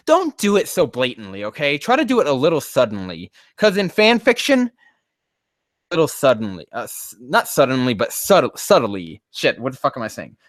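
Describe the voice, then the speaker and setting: A man's voice: on the high side, dry recording, clean studio sound. A man on a casual livestream reading fan fiction aloud, breaking off into rambling, profane, exasperated commentary.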